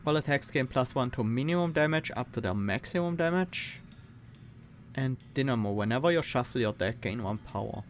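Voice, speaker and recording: A man with a Swiss accent, streaming a card game and thinking aloud, reading cards and weighing choices with pauses and fillers. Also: medium pitch, lively intonation, soft background music. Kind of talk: casual gameplay commentary.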